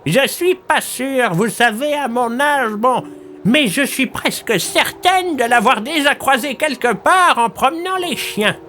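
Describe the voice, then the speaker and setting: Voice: sits high, animated, measured pace, sharp tone, slight background noise, heavily acted. An actor playing a chatty, rambling and senile but cheerful old village woman in an audio drama. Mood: rambling, friendly. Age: older.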